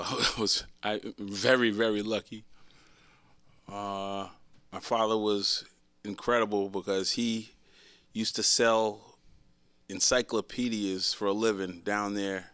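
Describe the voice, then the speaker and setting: Older man from the Boston area, low pitch, dry close sound, easygoing panel storyteller. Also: slow pace, pauses often, smooth, no echo. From Boston area, Massachusetts. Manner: relaxed, chuckles while telling stories. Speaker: panel guest. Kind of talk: casual storytelling.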